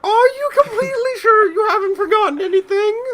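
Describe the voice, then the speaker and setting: A man voicing a fretting mother in shrill falsetto, firing anxious questions in a comic game read-aloud. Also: brisk, fairly bright tone, a little hiss or hum. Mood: anxious nagging. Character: fretting, nagging mother seeing off her grown child.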